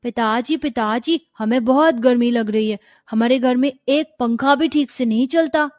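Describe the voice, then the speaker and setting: A woman voicing a young village child in a storybook tale, plaintive and excitable. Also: a little high, moderate pace, no room sound, clean studio sound, lightly acted. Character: whiny young village children suffering in the heat.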